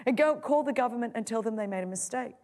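Aussie woman preaching, middle-aged, big swings from hushed to loud. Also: on the high side, fast talker. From Australia. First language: Greek. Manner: impassioned, swings from loud to soft. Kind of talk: sermon testimony.

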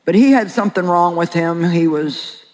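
An older woman with a very deep voice preaching a sermon and sharing her own story in an earnest, plain-spoken way with slow, deliberate pauses. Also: a little mellow, long reverb tail, rough recording. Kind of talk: sermon with personal testimony. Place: large hall with an audience.

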